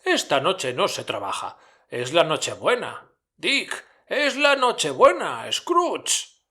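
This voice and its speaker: A man voicing a jovial old merchant in a storybook reading, calling out loudly and merrily. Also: a little high, wildly swooping pitch, takes it slowly, slightly rough, pitched above the performer's own voice, heavily acted. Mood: jolly, booming. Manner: loud, jolly calling out.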